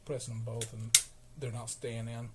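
A man giving an informal, halting, explanatory narration of a hands-on repair, as a repair vlogger at a workbench. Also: medium pitch, completely flat delivery. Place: indoor electronics workbench. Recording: clearly noisy workshop camera recording.

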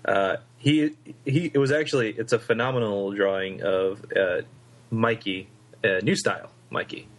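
Male co-host, lowish voice, halting casual talk. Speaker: podcast co-host. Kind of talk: casual chat.

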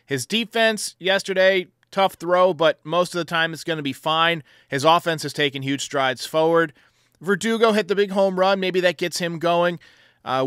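A man's voice: fairly high pitch, sharp tone, clear, resonant tone, dry recording, studio quality. A man hosting a sports call-in show, giving commentary in answer to callers. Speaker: host of a baseball voicemail show.

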